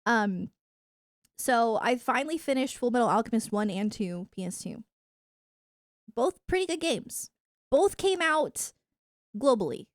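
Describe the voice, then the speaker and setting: A woman chatting casually to viewers on a livestream in a giggly, animated, rambling way. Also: on the high side, no room sound, clean studio sound. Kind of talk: casual livestream chat about anime and games.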